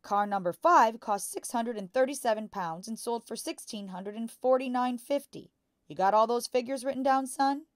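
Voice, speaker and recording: A woman voicing a boastful, bullying father who deals in crooked cars, in a loud, hectoring manner for a storybook. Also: on the high side, moderate pace, no room sound, clean studio sound, voice pushed up, close to an everyday voice. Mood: brisk, commanding.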